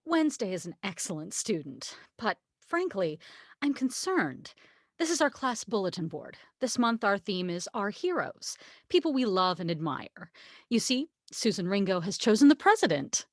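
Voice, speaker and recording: A woman's voice: medium pitch, sing-song, moderate pace, no room sound, studio quality. A woman playing a prim, concerned schoolteacher, polite and earnest, in a comedy script table read.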